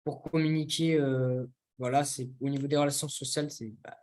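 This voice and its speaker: A young man from France, co-hosting a casual discussion and often pausing to search for words. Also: medium pitch, steady tone, darkish tone. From France, near Toulouse. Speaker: co-host.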